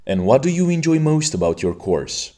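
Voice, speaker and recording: Man, podcast host, examiner, formal teacherly delivery, very lively pitch. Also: moderate pace. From Romania. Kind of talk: scripted exam-prep podcast hosting.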